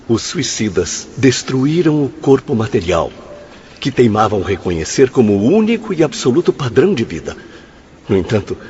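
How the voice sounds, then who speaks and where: An actor with a Brazilian accent playing an earnest spirit instructor in a radio drama, emphatic and declamatory. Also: male voice, medium pitch, a little mellow, dull audio, natural voice. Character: earnest male spirit instructor lecturing his friends gravely.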